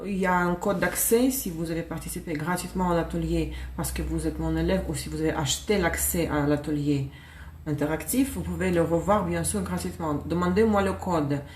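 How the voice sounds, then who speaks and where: A woman's voice: lowish voice, steady tone, fairly quick, darkish tone, slight background noise. A woman reading tarot cards on a live stream, chatty, laughing and correcting herself as she goes. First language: Russian. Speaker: tarot reader. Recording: echoey room.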